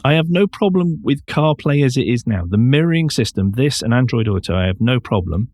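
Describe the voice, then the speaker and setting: A man's voice: mid-range voice, expressive, brisk, a little mellow, no room sound, studio quality. A man co-hosting a podcast, talking casually with firm, emphatic opinions. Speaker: podcast co-host. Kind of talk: casual discussion of car news.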